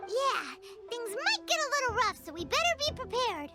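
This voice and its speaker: An actress playing a tiny, excitable childlike sprite companion in an English video game, shrill and exclamatory. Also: female voice, moderate pace. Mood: excited. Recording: loud game music behind the voice.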